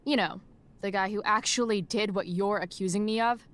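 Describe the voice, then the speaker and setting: A woman's voice: mid-range voice, fairly even intonation, moderate pace, fairly bright tone, lightly acted. A woman playing a rebellious teenage schoolgirl in a video game, with a blunt, slangy, sarcastic way of talking. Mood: defiant, indignant. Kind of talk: naturalistic video game acting.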